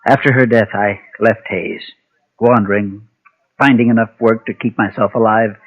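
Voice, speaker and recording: An actor playing a guilt-ridden former preacher and gunfighter, solemn and halting, in a Golden Age radio western. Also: male voice, lowish voice, steady tone. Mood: haunted, sorrowful. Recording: muffled, thin vintage radio recording.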